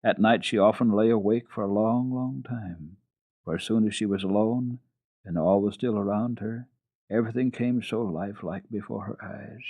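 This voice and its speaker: Male storybook narrator, low husky voice, dramatic and deliberate, close dry sound. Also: fairly even intonation, no room sound, phone-quality sound. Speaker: reader of children's classics on a radio program.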